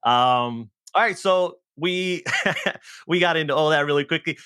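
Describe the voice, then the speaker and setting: A man hosting a podcast, asking questions in a relaxed, chatty way and laughing easily. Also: fairly high pitch, unhurried, smooth, dry recording, studio quality. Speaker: podcast host. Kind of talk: casual interview chat.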